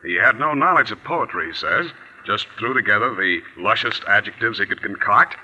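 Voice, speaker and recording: A man's voice: fairly low pitch, lively intonation, normal speed, sharp tone, dull audio, a little hiss or hum. An actor playing a smug, needling newspaper editor who gloats over his rival in a 1940s radio drama. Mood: mocking. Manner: needling, mock-soothing. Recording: old 1940s radio broadcast recording.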